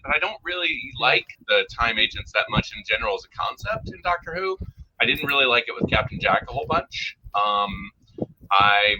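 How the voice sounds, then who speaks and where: A man with a very bright, forceful voice talks through his opinions in a casual review. He stops and restarts as he works out his point. The sound is a little muffled, with faint noise underneath. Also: lowish voice. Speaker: podcast guest reviewer.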